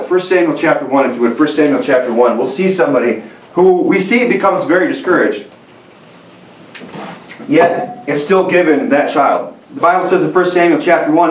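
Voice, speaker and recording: Earnest preacher man in a roomy hall. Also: fairly high pitch. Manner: earnest, repetitive emphasis with frequent restarts. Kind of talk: sermon. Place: small church room with a lectern. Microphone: camera mic from a distance. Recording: roomy sound from a distant mic.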